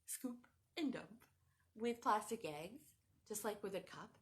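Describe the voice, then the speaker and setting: A woman hosting a children's craft lesson at home, talking straight to young viewers in an animated, sing-song way. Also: medium pitch, measured pace. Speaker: children's show host. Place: home room with a cat nearby.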